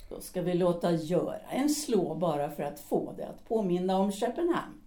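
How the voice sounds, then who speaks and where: A woman playing a sharp-tongued bitter wife. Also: lowish voice, expressive, lightly acted. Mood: reproachful. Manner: cutting and reproachful. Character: embittered former actress wife, sharp-tongued, in middle age. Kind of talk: Swedish audio drama acting.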